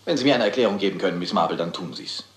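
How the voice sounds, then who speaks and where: An actor playing a brusque, impatient police inspector in a German crime radio play, gruffly official in manner. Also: male voice, sits low, lively intonation, very fast, slight background noise. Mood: sceptical. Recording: roomy, echoing radio play sound.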